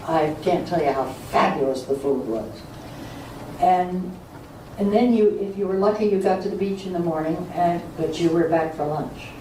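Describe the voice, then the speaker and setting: An older woman recalling old family summers in a slow, fond way. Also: lowish voice, warm tone. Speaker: speaker sharing memories. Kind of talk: oral history reminiscence. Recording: roomy hall from far off, faint noise.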